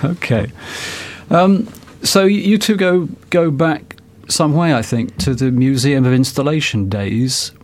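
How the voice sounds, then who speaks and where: A man interviewing an artist in a chatty, relaxed way with quick asides. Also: fairly high pitch. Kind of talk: casual interview talk.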